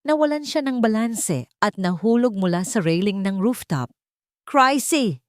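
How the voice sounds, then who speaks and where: A woman's voice: medium pitch, expressive, moderate pace, darkish tone, no echo, studio quality. A woman narrator reading a scripted story in a dramatic style.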